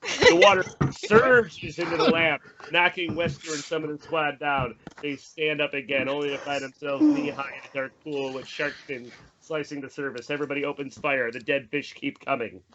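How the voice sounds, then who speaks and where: A man's voice: fairly high pitch, lively intonation, moderate pace. A man reading out the stage directions of a horror screenplay as narrator at a table read. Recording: slightly dull mic, faint noise.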